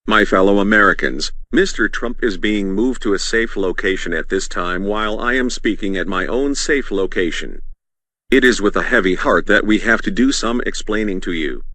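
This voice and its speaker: Guy playing a solemn government leader, lowish forceful voice, formal apologetic address to the nation. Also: normal speed. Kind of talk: scripted presidential-style address.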